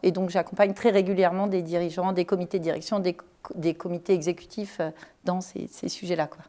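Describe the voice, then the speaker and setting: A woman who cofounded a consulting firm, speaking on a panel in a clear, composed way. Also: lowish voice, fairly even intonation, quick pace, warm tone. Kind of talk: panel discussion.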